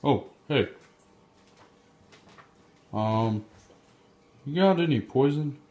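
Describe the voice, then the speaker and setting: A man voicing a sly, sceptical puppet schemer in a casual, deadpan way for an amateur puppet show. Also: mid-range voice, extremely slow.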